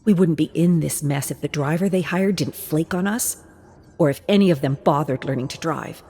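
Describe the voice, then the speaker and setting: A woman's voice: low-pitched, moderate pace, mellow, slightly rough. A woman voicing a driven, bitter young competitive gamer in a tense inward monologue from video game lore. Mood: resentful, defiant.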